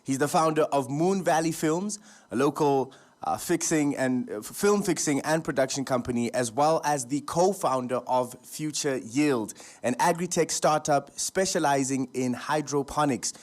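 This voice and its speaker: A man's voice: on the high side, moderate pace. A male radio host interviewing a guest in a studio, friendly and chatty, with fillers and stumbles.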